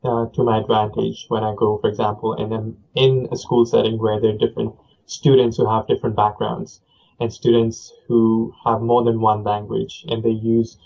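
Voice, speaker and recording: Soft-spoken Indian-born man, flat delivery. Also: lowish voice, normal speed, gravelly. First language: Punjabi. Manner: thoughtful, many fillers and restarts. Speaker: guest. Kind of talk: conversational interview. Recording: dull lo-fi audio.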